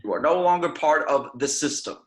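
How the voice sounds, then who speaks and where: A man reading the part of a stern, commanding secret agency chief at an amateur script table read, measured and authoritative. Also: fairly high pitch, animated, natural voice. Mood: solemn, commanding.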